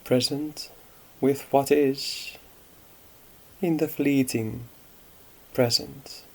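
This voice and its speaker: Slow, creaky-voiced male meditation guide. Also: medium pitch, low-quality recording. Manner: calm, unhurried, with long pauses. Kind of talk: guided meditation, unscripted instruction.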